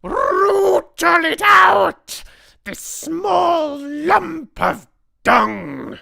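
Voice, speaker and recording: A storyteller voicing a tyrannical female head witch, screeching commands in furious, drawn-out words. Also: medium pitch, very animated, a bit bright, voice pushed up, full character voice.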